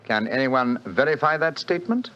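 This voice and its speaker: An actor playing a shrewd, assured detective, crisp and lightly ironic, in a 1940s radio drama. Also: male voice, medium pitch, lively intonation, moderate pace, fairly bright tone, natural voice. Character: brisk, shrewd British detective, sharp and assured. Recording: dull old radio broadcast.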